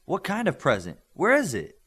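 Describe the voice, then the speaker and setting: Sardonic young female teammate, done by a male narrator, matter-of-fact, swooping pitch, superhero audiobook. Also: wildly swooping pitch, normal speed, fairly bright tone, slightly rough, full character voice. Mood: curious.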